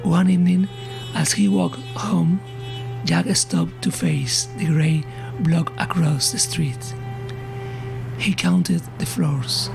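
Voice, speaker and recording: Male storyteller, creaky voice, suspenseful scripted horror, breathy phrases, backing music. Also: fairly high pitch, rough recording. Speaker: story narrator.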